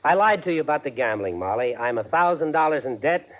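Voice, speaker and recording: An actor playing a desperate, excitable gambling everyman in a 1950s radio suspense drama, with intense, emphatic delivery. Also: mid-range voice, fast, close to an everyday voice. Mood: defensive. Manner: intense, muttering to himself, emphatic. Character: desperate gambling-addicted everyman bank worker, excitable and brooding. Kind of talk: 1950s radio suspense acting, first-person narration and dialogue. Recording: dull 1950s radio recording, loud music.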